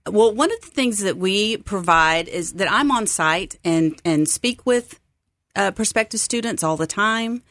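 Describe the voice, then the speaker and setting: A woman's voice: medium pitch, expressive, a little slow, fairly bright tone, no room sound. A middle-aged woman from Texas, speaking as an upbeat, earnest guest in a conversational radio interview. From Texas, United States. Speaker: interview guest, seminary site coordinator.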